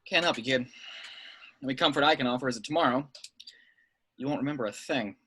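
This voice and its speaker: A man playing a gruff, blunt veteran secret agent with clipped, wry lines in an amateur table read. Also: medium pitch, fairly quick, pushed and bright, slight background noise, close to an everyday voice. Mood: wry, calm. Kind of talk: amateur at-home table read of a film script.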